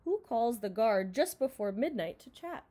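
A young woman reading a children's picture book aloud in an expressive, dramatized way, recorded in a room at home. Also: on the high side, moderate pace. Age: young adult. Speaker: storybook reader.

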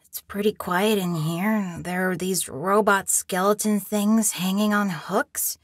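A woman's voice: mid-range voice, fairly even intonation, takes it slowly, dry recording, clean studio sound. A woman voicing a young boy in a video game, scared but plucky and resourceful, speaking in an earnest, anxious way. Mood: wary, curious.

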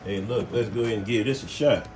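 A man's voice: fairly low pitch, quick pace, darkish tone. An American man giving a casual hands-on how-to, folksy and thinking aloud as he works. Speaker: host, car mechanic. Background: music.

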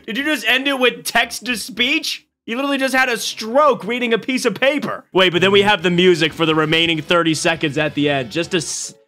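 A young man in his twenties hosting a stream, reacting to clips with excited, laughing commentary from a small home room. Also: high voice, a little fast, hardly any pauses. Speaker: streamer host. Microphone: boom mic on arm.

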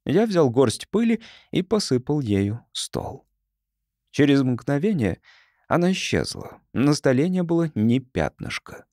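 A man reading an audiobook story as narrator, in an expressive scripted style. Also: mid-range voice, measured pace, no room sound, clean studio sound.

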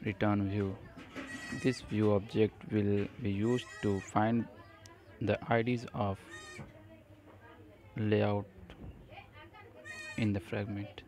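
A man presenting an unscripted screencast coding tutorial in short, halting phrases. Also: fairly low pitch, little pitch movement, darkish tone, rough recording.

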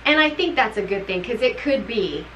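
A woman's voice: a little high, animated, normal speed, pushed and bright, dull audio, slight background noise. A middle-aged woman chats loosely and at length about a novel, circling back on her thoughts and laughing along the way. She sits in a room at home, speaking naturally to her co-host on camera. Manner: chatty, rambling, laughs often. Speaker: book club co-host. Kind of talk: casual book review chat.

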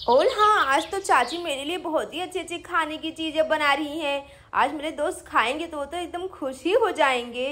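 A woman voicing an excited, chatty little girl hosting a party in a children's doll story. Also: high voice, normal speed, hardly any pauses.

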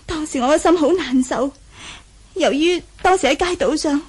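A woman's voice: high voice, normal speed, lightly acted. A woman acting in a Cantonese radio drama from Hong Kong, voicing a timid, earnest, naive young nun. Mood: sorrowful recounting. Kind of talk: 1980s martial-arts radio drama acting.

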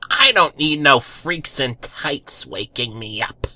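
A man voicing a gruff, angry female neighbour who scolds curtly in a comic story reading. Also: medium pitch, animated, takes it slowly, pushed and bright, no echo, pitched above the performer's own voice, full character voice. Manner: curt, scolding.

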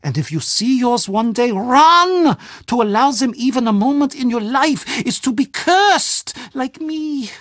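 A man's voice: extremely high pitch, very animated, a bit bright, no room sound, heavily acted. Game role: eccentric older German man, anxious and gloomy, swooping intonation, dry close sound. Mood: gloomy, haunted. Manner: fretful, melodramatic, halting formal phrasing. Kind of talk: video game character acting.

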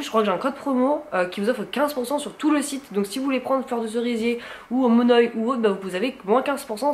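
Young woman vlogger, cold-stuffed nose, chatty and filler-heavy, arm's-length camera in a small flat. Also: mid-range voice. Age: young adult. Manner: chatty, lots of fillers and repeated words, enthusiastic. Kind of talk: casual vlog chat to camera. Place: small bright apartment living room, indoors. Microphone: handheld camera at arm's length.

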